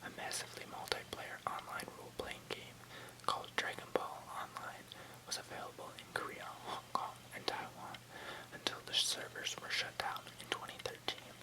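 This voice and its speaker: An ASMR host reads an article in a steady, breathy whisper with no voicing. The delivery is soft and measured, with casual phrasing and an occasional stumble over a tricky word. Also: low-quality recording. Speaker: ASMR host reading an encyclopedia article aloud. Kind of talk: whispered ASMR reading with brief casual asides.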